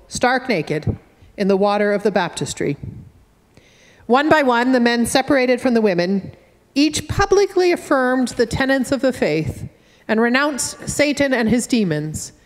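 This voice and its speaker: A middle-aged woman with a Canadian accent, a minister giving a measured, earnest sermon from the pulpit with gentle humor. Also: a little high. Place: wood-panelled church sanctuary, at a pulpit. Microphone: fixed church camera at a distance. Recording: church with long reverb.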